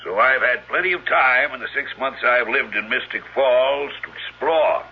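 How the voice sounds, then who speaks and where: A folksy retired small-town man played by a male actor, skeptical and sure of himself. His voice is husky and mid-pitched, on a muffled old radio drama recording. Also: moderate pace, natural voice. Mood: folksy, assured. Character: folksy retired townsman, unhurried, skeptical, self-assured.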